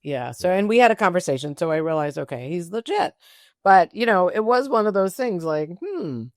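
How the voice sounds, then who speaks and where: A woman with a fairly low voice hosts a conversational interview. She is informal and chatty, working through her thoughts out loud, and the sound is dry and close. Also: big pitch swings, fairly quick, no room sound. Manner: informal, chatty, thinking aloud. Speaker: interview show host.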